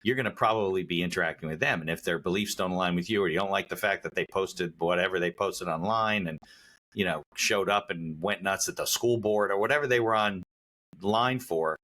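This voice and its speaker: A man's voice: low voice, fairly quick, sharp tone. A man hosting a podcast interview, chatting casually and often chiming in with short affirmations. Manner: chimes in with short affirmations, finishes guest's sentences. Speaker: podcast host.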